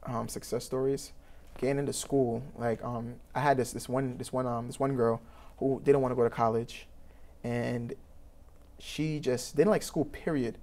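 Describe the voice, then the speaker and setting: A man's voice: mid-range voice, steady tone, normal speed, darkish tone. A young man from Trinidad with a Trinidadian accent, answering interview questions in an earnest, rambling way full of fillers and restarts. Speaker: youth program founder.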